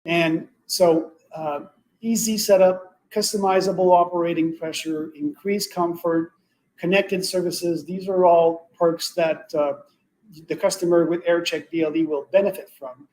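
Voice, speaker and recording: A man with a fairly high voice delivers a semi-scripted product pitch as a webinar expert. His delivery is halting, with slightly non-native English phrasing. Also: steady tone, a little mellow, low-quality recording. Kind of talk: semi-scripted sales presentation.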